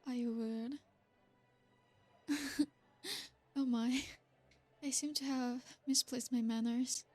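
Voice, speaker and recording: Female performer as an ancient noble vampire, seductive and possessive. High, even delivery, slow and formal. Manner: soft, formal and flattering. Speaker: ASMR roleplay performer. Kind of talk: ASMR roleplay.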